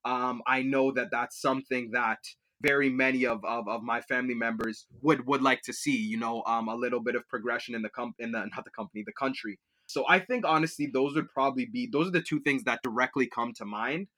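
A man's voice: mid-range voice, brisk, few pauses, bright, forceful tone. A young man from Liberia, raised in Kenya and Canada, answering interview questions in a rambling way, with many fillers and restarts. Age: young adult. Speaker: student guest.

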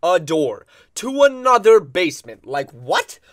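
A neurotic, wealthy mansion owner in an audio comedy. He rambles through his complaints with dramatic exasperation, his pitch swinging widely. The sound is close and dry. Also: big pitch swings, unhurried, a bit bright, no echo, full character voice. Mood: exasperated. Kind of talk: audio comedy sketch acting.

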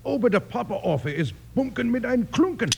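A flustered Old West German gunsmith in a comic radio western. His high, gravelly voice swoops around as he mangles English into mock-German, on a noisy vintage recording. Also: big pitch swings, a little fast, heavily acted. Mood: flustered, puzzled. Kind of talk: old-time radio comedy western acting.

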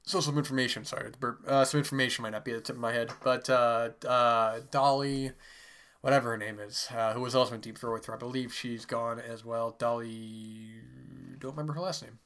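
A man's voice: medium pitch, fairly even intonation, a little fast, a bit bright. A man who is sick and stuffy, hosting a podcast and casually reviewing a book in a rambling way with many fillers. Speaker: podcast host.

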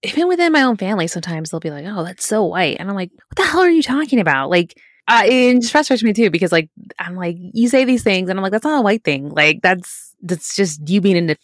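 A woman chatting casually as a podcast co-host, emphatic and animated. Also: medium pitch, fast talker, no echo, clean studio sound. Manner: animated, emphatic, laughs easily. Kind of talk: casual chat.

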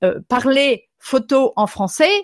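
A woman's voice: high voice, a little slow, no room sound, clean studio sound. A woman from France, a photographer guest, chatting casually in an interview with frequent restarts and easy laughter. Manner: lively, hesitant restarts, laughs easily.

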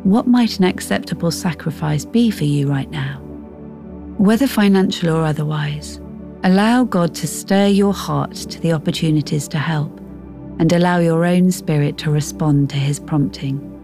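A woman reading a scripted Bible devotional in a measured, reflective way. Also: deep voice, creaky. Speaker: devotional reader. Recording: faint music behind.

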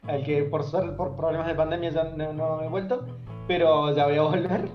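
A man's voice: fairly high pitch, steady tone, moderate pace. Argentine man in a dull, echoey recording. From Córdoba, Argentina. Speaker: guest, software developer. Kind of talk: casual interview, telling anecdotes. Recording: dull, echoey sound with music behind. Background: guitar music.

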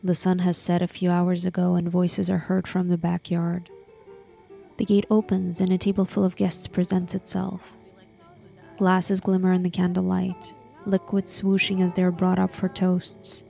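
A woman reading scripted, evocative scene-setting narration in a slow, measured way. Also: fairly low pitch, monotone, creaky. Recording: narration over soft music.

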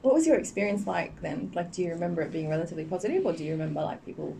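Young woman host, quick and playful, warm tone; distant mic. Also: on the low side, expressive, vocal fry. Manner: quick, playful, joking questions. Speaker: podcast host. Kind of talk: casual interview chat. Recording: distant mic with faint noise.

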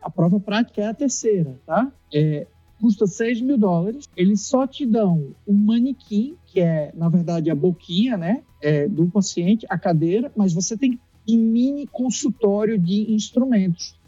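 A Brazilian dentist from Recife, a man, telling an animated story in an interview, acting out dialogue and laughing often. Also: high-pitched, measured pace, dry recording, dull audio, soft background music.

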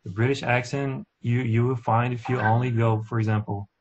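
A man's voice: mid-range voice, fairly even intonation, normal speed, lo-fi sound, over a noisy crowd. A man from Brazil with a Brazilian Portuguese accent, speaking English loosely and with plenty of fillers in a casual discussion. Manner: loose, filler-heavy, "you know what I'm saying".

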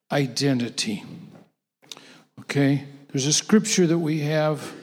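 Emphatic preacher in a reverberant hall. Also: male voice, a little high. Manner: emphatic, repetitive, with halting restarts. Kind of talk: sermon to a conference audience. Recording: long hall reverb, voice off-mic.

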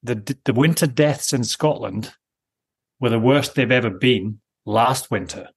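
A man around fifty with a husky voice, chatting casually in a musing, opinionated way and often interrupting himself. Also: medium pitch, a little slow. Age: late 40s to early 50s. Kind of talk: casual conversation.

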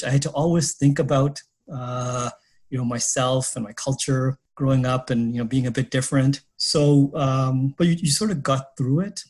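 Middle-aged guy being interviewed, husky voice, lots of ums and restarts. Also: medium pitch. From Canada. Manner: hesitant, many fillers and restarts, laughs softly. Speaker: interview guest. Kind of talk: reflective interview answers.

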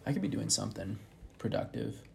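Man, low voice with creaky fry, hesitant, self-deprecating casual talker; noticeable background noise. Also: big pitch swings, brisk, dark tone. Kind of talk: casual rambling chat, with some reading aloud from a book.

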